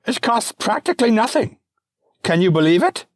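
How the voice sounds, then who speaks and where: Shrill childish emperor, very high, strained, husky edge, audiobook reading. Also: male voice, very animated, normal speed, no echo, clean studio sound. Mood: gleeful. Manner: shrill and gleeful. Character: giddy, childish male Roman emperor.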